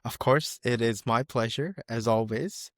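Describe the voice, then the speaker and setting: Man, podcast host, upbeat, laughs a lot, slight slips, mid pitch, dry recording. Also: unhurried, a bit bright, dry recording. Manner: upbeat, laughs often, small verbal slips. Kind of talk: casual interview conversation.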